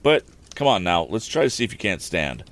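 A man voicing an eager young inventor, brisk and helpful. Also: low voice, sing-song, a bit bright.

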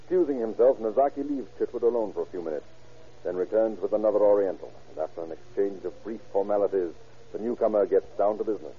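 Male narrator, lower register, scripted and dramatic, muffled 1940s radio sound. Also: husky. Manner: measured, dramatic storytelling. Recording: muffled old radio recording.

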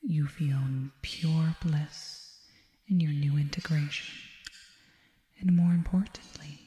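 A woman playing a serene, soothing alien girl hypnotist in an ASMR roleplay, breathy and deliberate, between whispers and soft speech. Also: low-pitched, steady tone. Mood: hypnotic, commanding calm. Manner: breathy, whispered to softly spoken, slow and deliberate. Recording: echoing reverb with long tail.

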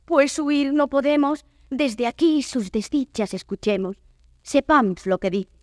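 Casting: proud, troubled lady wanderer, classical verse drama, high voice, grand declamation, dry sound. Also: a little mellow, dry recording. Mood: awed dread. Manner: grand, theatrical, measured declamation. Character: proud, troubled noblewoman traveller lost in the wilds.

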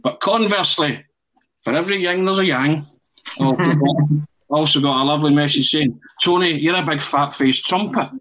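A man's voice: fairly high pitch, a little fast, a bit bright, poor audio. A man hosting a football podcast in casual chat, joking and chatty. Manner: jokey, chanting, laughs often. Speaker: podcast host.

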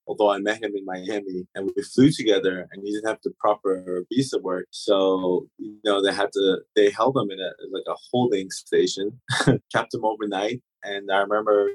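A man in his thirties from Turks and Caicos, a guest in an interview, telling stories in a relaxed way with many fillers and restarts. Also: lowish voice, poor audio. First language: German. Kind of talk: casual interview storytelling.

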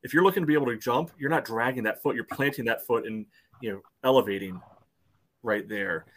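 A podcast co-host arguing a soccer call, with "you know" between nearly every phrase. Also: male voice, mid-range voice, a little fast, a bit bright. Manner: loose, fills with "you know" often. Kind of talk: casual sports debate.

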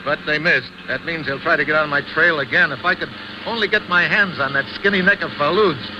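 An actor playing a tough, wisecracking American secret agent in a 1950s radio drama, clipped and deadpan. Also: male voice, a little high, a little fast, lightly acted. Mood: sardonic, insistent. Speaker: lead actor and narrator. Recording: noisy vintage radio recording.

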